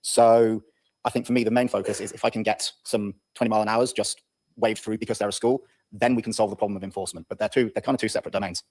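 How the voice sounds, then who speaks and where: A man's voice: fairly low pitch, steady tone, fast talker, a little mellow. A man, a local councillor, making a matter-of-fact, practical point in a council meeting. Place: council chamber.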